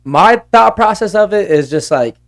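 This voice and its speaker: A man's voice: animated, normal speed. A man in his twenties chatting openly on a podcast, often laughing and quoting others. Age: 20s. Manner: candid, laughs often, quotes others in lowered voice. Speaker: podcast guest. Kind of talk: casual chat.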